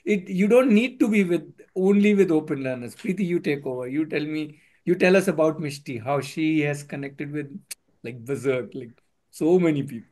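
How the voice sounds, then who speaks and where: An earnest man hosts an online meetup from a room at home. His voice sits somewhat high, and he repeats phrases and slips into Hindi as he makes his points. He is heard through a headset on a video call. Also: normal speed, a little mellow. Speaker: meetup host. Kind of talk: casual video-call discussion.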